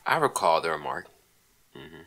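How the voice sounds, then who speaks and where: A man's voice: a bass voice, sing-song, normal speed, bright, forceful tone, dry recording, heavily acted. A man playing a stubborn, scornful husband in an old-time radio comedy, curt, sardonic and dismissive. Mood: aloof, sardonic.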